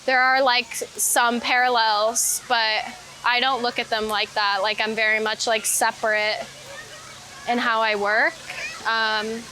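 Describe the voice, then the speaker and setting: A woman's voice: fairly high pitch, little pitch movement, slow, sharp tone, poor audio. A woman interviewed as a photographer, chatting casually and reflectively outdoors, meandering and saying "like" often. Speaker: interviewed street photographer and painter. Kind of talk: casual reflective chat while out walking. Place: outdoors in a city park.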